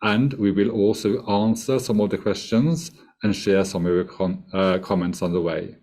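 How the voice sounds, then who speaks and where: Low, mellow-voiced man giving a formal welcome. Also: fairly even intonation. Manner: formal, measured, ceremonious greeting. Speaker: project manager opening a webinar.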